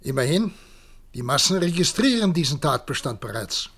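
An actor playing a grave, statesmanlike president in a radio play, speaking in a measured, formal, oratorical way. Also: male voice, on the high side, big pitch swings, a bit bright, slightly rough, exaggerated voice. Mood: sober, worried. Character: grave statesmanlike male president of future humanity. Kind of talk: German radio play acting.

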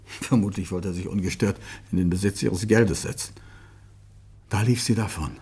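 A man's voice: low voice, fairly even intonation, moderate pace, darkish tone, breathy edge, heavily acted. A man playing a guarded, grieving suspect in a German radio crime play, speaking in a subdued, bitter way. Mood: bitter, sombre. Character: guarded, grief-stricken male suspect under police questioning. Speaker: actor in a radio crime drama.

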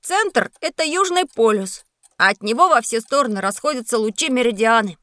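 A woman playing an eager young schoolboy pupil in a scripted educational audio production, lively and youthful. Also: on the high side, fairly quick, no echo, studio quality. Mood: reasoning, curious. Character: eager schoolboy Petya, a bright child pupil.

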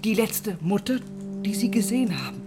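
A woman's voice: medium pitch, fairly quick, over loud music, close to an everyday voice. An actress playing a stern, secretive older foster mother in a radio drama, grave and admonishing.

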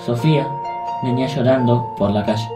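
An Argentine man reads solemn radio drama narration at a medium pitch. Music plays clearly beneath his voice. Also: fairly even intonation, moderate pace.

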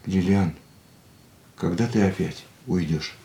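A man's voice: low-pitched, slow, dark tone. A man playing a jealous, anxious lover in a radio play, insistent, questioning and earnest, in an echoey room. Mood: reproachful. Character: jealous, anxious lover, an aging racing driver.

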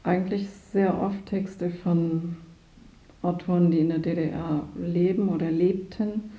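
Woman interviewer, very dark soft timbre, flat intonation, measured hesitant phrasing, roomy with faint noise. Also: on the low side. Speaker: interviewer, former radio drama editor. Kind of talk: thoughtful interview questioning.

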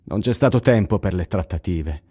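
A man performing a sombre chronicler for radio theatre. His voice is low and very mellow, with a dark, soft tone suited to grave narration. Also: lively intonation, moderate pace, slightly rough.

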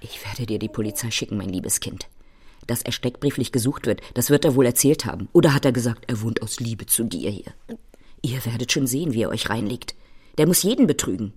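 An actress playing a bitter, domineering older mother-in-law in a radio drama, sneering and theatrical, with a husky voice. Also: very deep voice, lively intonation, fairly quick, a little mellow, exaggerated voice. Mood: cold scorn. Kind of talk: German radio drama acting.

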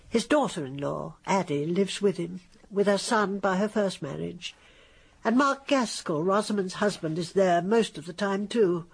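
Gushing, very animated British society lady. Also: mid-range voice, creaky, husky, heavily acted. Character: gushing, decisive upper-class lady friend, theatrically sympathetic. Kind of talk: radio drama acting.

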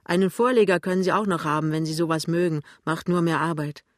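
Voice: medium pitch, steady tone, quick pace, dry recording, clean studio sound, close to an everyday voice. A reader voicing a blunt, worn-out working-class landlady in an audiobook, brusque and colloquial with a resigned edge. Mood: brusque, indifferent.

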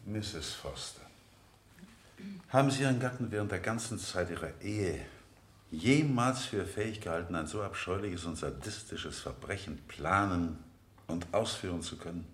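An actor playing a relentless, insinuating cross-examining lawyer in a radio play, with formal, pointed, sardonic questioning. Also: male voice, sits low, animated, moderate pace. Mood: suavely insinuating. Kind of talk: 1970 German radio play acting. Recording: echoey vintage radio studio.

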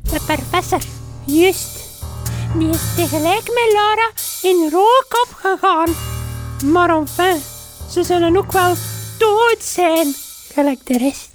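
A woman's voice: very high voice, takes it slowly, long pauses, soft background music. A woman playing a chatty, simple village innkeeper's wife with a Flemish accent, rambling in folksy and mangled formal words for a comedy radio play. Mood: folksy, matter-of-fact. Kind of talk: comedic radio drama acting.

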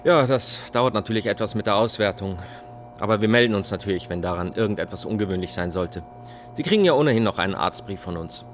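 A man's voice: fairly low pitch, expressive, fast talker, faint music behind, close to an everyday voice. An actor playing a matter-of-fact, reassuring yet noncommittal male hospital doctor in a naturalistic radio drama. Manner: explanatory, businesslike.

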